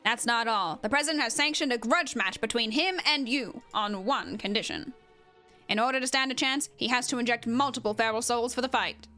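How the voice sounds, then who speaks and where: A woman plays an indignant wrestling announcer from a video game. The voice is high and sharp, with dramatic, emphatic delivery and articulate wording, over faint music. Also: a little fast. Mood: grave. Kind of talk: amateur voice acting of game dialogue.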